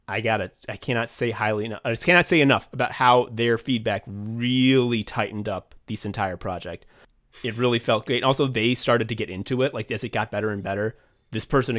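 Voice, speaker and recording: A man in casual podcast chat, rambling and restarting his sentences as he goes. He swears freely and leans on filler words. His voice sits at an ordinary middle pitch for a man. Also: a bit bright. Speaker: podcast co-host and writer.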